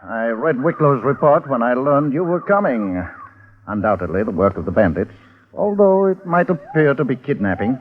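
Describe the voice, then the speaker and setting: An actor playing a brisk, clipped male police constable at a jungle outpost in a 1950s radio drama. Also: mid-range voice, big pitch swings, lightly acted. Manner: brisk, clipped, slightly hesitant. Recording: lo-fi old radio broadcast.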